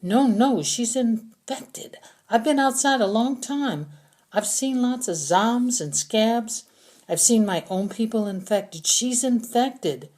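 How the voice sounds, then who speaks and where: A woman plays a desperate male prisoner from a zombie adventure audiobook. His voice is pushed high and insistent, pleading and accusing as he argues for himself. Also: takes it slowly, darkish tone. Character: frantic, pleading captive man, a wasteland scavenger.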